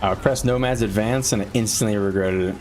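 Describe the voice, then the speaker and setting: A man's voice: lowish voice, fairly quick, darkish tone. A man who streams games, giving casual live commentary and rambling as he thinks aloud. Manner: rambling, thinking aloud, often clearing throat. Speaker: game streamer.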